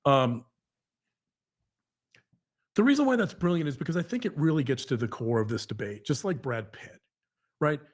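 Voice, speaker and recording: Halting, emphatic man, somewhat high-pitched. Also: animated. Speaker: podcast co-host, psychologist. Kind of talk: earnest conversational monologue to a co-host.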